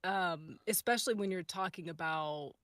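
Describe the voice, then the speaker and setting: A woman's voice: lowish voice, moderate pace, a bit bright, no echo, studio quality. A woman chatting casually and off the cuff, thinking aloud with emphatic repeats. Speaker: podcast cast or crew member. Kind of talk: casual, off-the-cuff group chat.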